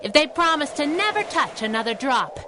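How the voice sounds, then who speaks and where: Casting: taunting masked crime-fighter, sassy and animated, higher-pitched, radio drama with noisy backdrop. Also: female voice, a little fast. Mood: taunting, stern. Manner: wisecracking, taunting. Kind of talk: 1930s-style radio drama acting.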